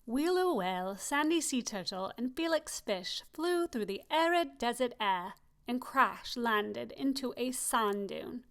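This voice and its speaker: A woman reading a children's picture book aloud, expressive and slowing down on key phrases. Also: on the high side. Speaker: storybook reader. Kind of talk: children's story read-aloud.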